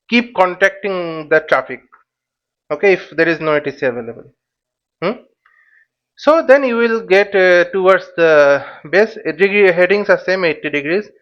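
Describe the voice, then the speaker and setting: A high-voiced man explains things slowly, like an instructor at a whiteboard. He works step by step, putting questions and then answering them. The recording is slightly dull and lo-fi. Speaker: flight simulation instructor. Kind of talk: informal whiteboard tutorial.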